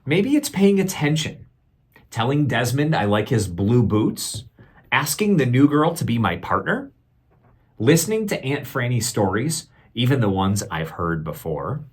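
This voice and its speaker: A man reading a picture book aloud like a teacher to children, expressive and deliberate, pausing to put questions to young listeners. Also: medium pitch, husky.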